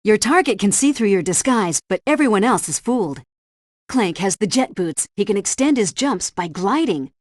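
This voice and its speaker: A woman's voice: mid-range voice, lively intonation, normal speed, no echo, clean studio sound, lightly acted. A woman playing a composed, helpful spy agency helpdesk operator in a video game, giving scripted instructions in a precise briefing style. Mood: composed, instructive. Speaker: mission helpdesk guide. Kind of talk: scripted game tutorial narration.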